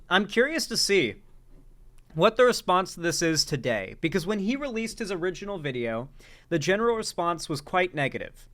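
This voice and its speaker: A man hosting a casual commentary channel, reacting to a video in a conversational way with excited outbursts. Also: a little high. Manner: conversational, exclaims in excited bursts.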